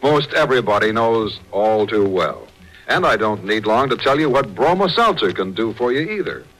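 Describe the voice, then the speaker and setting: Bright, animated male radio announcer. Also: fairly low pitch, moderate pace, rough recording. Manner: emphatic, folksy salesmanship. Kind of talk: 1940s radio sponsor pitch. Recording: old 1940s radio broadcast recording.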